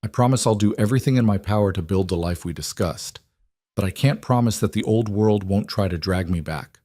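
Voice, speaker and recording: A man voicing a cold, determined mafia boss who is protective of his family, with intense, measured delivery in an audiobook. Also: fairly low pitch, warm tone, no echo, studio quality, lightly acted. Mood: sincere. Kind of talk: audiobook character dialogue.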